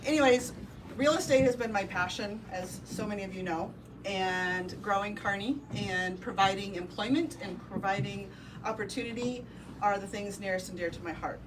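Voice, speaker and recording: A woman with a Midwestern accent tells an assembled crowd a personal story, pausing often with ums. She is heard from a distance across a noisy indoor room, at a middle pitch. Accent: Midwestern American. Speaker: real estate brokerage owner. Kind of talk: ribbon-cutting speech. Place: indoor office event space with a crowd.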